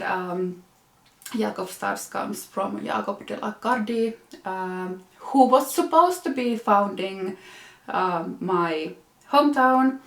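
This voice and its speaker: A woman's voice: mid-range voice, creaky, echoey room. A young woman from Finland with a Finnish accent, hosting a knitting podcast and showing her projects in a hesitant, casual way with many fillers. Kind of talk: casual show-and-tell.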